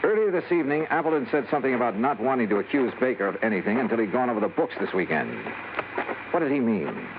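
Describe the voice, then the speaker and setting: A man's voice: medium pitch, big pitch swings, fast, noisy background. An actor playing a hard-boiled, world-weary male insurance investigator in radio noir, speaking in a clipped, dry, matter-of-fact way. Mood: probing. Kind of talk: 1950s radio noir acting and narration. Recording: narrow, tinny old radio broadcast with music.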